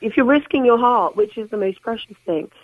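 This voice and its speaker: A middle-aged woman calling in to a radio show, with a slightly croaky voice, putting her opinion firmly. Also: a little high, a little fast, no room sound, rough recording. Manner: firm, opinionated, talks in long runs. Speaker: caller. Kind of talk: radio phone-in call.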